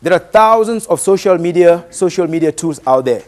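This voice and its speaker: Higher-pitched Cameroonian man giving a talk. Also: animated. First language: a Bantu language. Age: late 30s. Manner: deliberate, emphatic, uses rhetorical questions. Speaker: public speaker, presenter. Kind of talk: motivational presentation to an audience.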